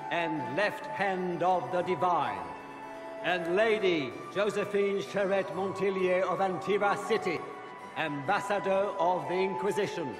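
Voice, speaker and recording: Fantasy game herald: high voice, ceremonious measured proclamation, big reverberant hall. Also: male voice. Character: pompous court herald announcing noble guests. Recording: echoey great hall, long reverb.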